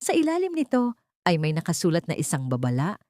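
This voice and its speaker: A woman's voice: on the low side, huge pitch swings, brisk, a little mellow, no room sound, studio quality. A woman narrating a scripted audio love drama in a dramatic style.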